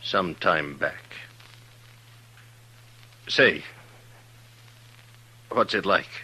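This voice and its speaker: An actor playing a gruff, wistful drifting ex-seafarer in an old-time radio western, husky and plain-spoken. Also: male voice, sits low, drawn-out words, sharp tone, exaggerated voice, poor audio. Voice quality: husky, raspy. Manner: folksy, plain-spoken, hesitant questioning.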